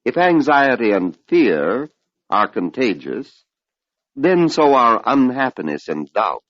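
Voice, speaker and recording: An older man giving a measured, oratorical spiritual lecture on an old radio broadcast, posing rhetorical questions. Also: mid-range voice, big pitch swings, no room sound. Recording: dull lo-fi vintage radio recording.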